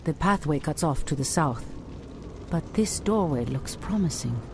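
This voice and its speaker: An actress playing a proud, resolute female elf high priestess in a game cutscene. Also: a little slow. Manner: calm, resolute. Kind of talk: video game cutscene acting. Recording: game audio with music underneath.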